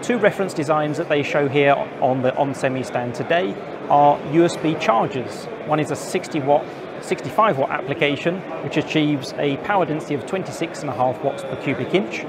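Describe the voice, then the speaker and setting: A man in his forties presenting to camera with a lapel mic in a busy indoor trade show hall, giving clear, informative reports. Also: a little high, moderate pace. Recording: noisy trade show floor.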